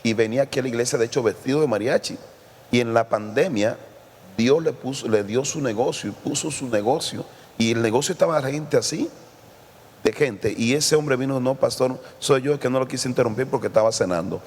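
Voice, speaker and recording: A man from Mexico, a guest pastor, telling lively anecdotes with quoted dialogue in a casual church podcast. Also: mid-range voice, brisk, breathy edge, long reverb tail.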